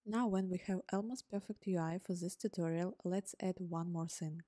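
Low mellow woman, native Ukrainian speaker. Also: moderate pace, dry recording, studio quality. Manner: calm, step-by-step explaining. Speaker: tutorial host. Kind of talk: scripted coding tutorial narration. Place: voice-over on screen recording.

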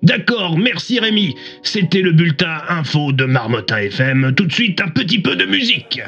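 An upbeat morning radio presenter, acted by a man, with a lively, swinging delivery and a bright edge. The sound is narrowed like a radio broadcast, with music underneath. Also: a little high, moderate pace, vocal fry, slightly rough, heavily acted. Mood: brisk, professional. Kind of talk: radio news bulletin presenting.

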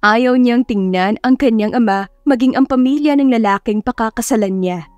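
A woman's voice: fairly high pitch, normal speed, darkish tone, no room sound, lo-fi sound. A woman narrating a scripted romance story in a dramatic audiobook style. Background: music.

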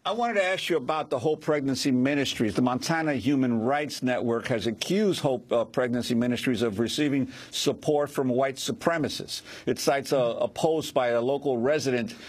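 A man hosting a news program, asking questions and reading in a measured way from a script. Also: medium pitch, breathy edge. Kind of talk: broadcast news interviewing.

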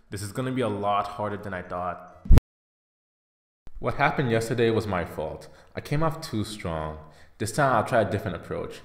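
A man plays an exasperated schoolboy in an anime parody. He talks to himself with crude sarcasm and scheming asides, his pitch swinging widely. The track sounds roomy and echoey. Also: expressive, moderate pace, pauses often, background noise. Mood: sardonic. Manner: sarcastic, self-talking, crude. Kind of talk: anime parody fan dub.

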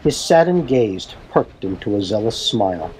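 A man reading a fan-fiction story aloud as narrator, in a dramatic, deliberate storytelling manner. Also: lowish voice, very animated, a little mellow, a little hiss or hum, rough recording.

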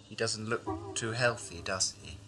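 A man's voice: on the low side, steady tone, a little slow, natural voice. A man playing a reasonable but uneasy young space traveller in a science-fiction audio drama. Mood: alarmed. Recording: amateur 1980s drama tape with music.

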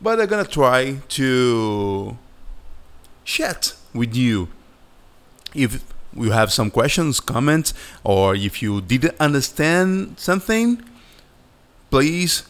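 Slow Brazilian man with a smooth voice. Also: mid-range voice, a bit bright. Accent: Brazilian Portuguese. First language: Portuguese. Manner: slow and halting, searching for words, friendly. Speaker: animator and channel host. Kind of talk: casual vlog chat over a screen recording.

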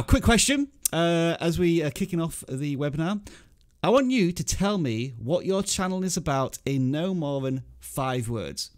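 A man in his thirties with an English accent, hosting a casual livestream from a small home studio and cheerfully greeting viewers in the chat. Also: medium pitch. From England. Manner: upbeat, reading out chat names and greeting viewers. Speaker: livestream host. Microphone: studio microphone on arm, headphones.

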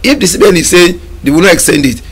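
Middle-aged Nigerian man, TV studio guest, gravelly and husky, slightly high pitch, emphatic. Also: animated, racing. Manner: emphatic, repeats words while gathering thoughts. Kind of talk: studio talk show discussion. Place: TV studio lounge set.

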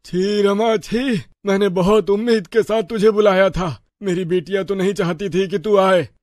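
A notably high-pitched older father played by a man in a cartoon family tale, mild and worried. He speaks earnestly, with a dry, close sound. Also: fairly even intonation, moderate pace, a little mellow, no echo, natural voice. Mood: disappointed. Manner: earnest, concerned.

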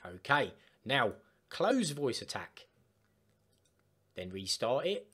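A man chatting casually through a step-by-step software tutorial for gamers. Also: mid-range voice, big pitch swings, takes it slowly. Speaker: gaming tutorial host. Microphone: desktop microphone, voice-over of screen capture.

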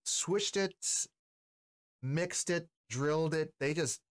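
A man's voice: a little high, takes it slowly, no echo, clean studio sound. A man in his forties giving casual, slangy reaction commentary to camera as a host. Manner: slangy, informal. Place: small indoor streaming room.

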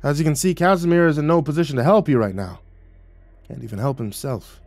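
A solemn male ancestor spirit as acted out by a male storyteller speaks with quiet gravity and a dry, teasing streak. He is an audiobook figure, wise and unhurried in temper. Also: on the high side, dry recording, pitched above the performer's own voice. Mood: grave.

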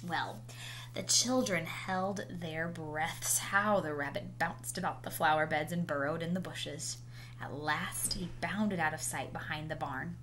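A woman reading a children's picture book aloud with animated, dramatic emphasis and drawn-out words. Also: sits low. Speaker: storybook reader. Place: indoors, small room at home. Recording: noisy home video recording.

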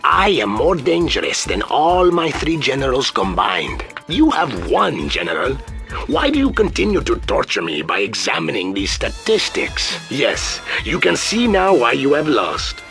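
An actor playing a proud, menacing guerrilla commander in a video game, with a theatrical, pompous, taunting delivery. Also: male voice, mid-range voice, huge pitch swings, a little slow, vocal fry, music in the background, exaggerated voice. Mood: gloating menace. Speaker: villain general taunting the player.

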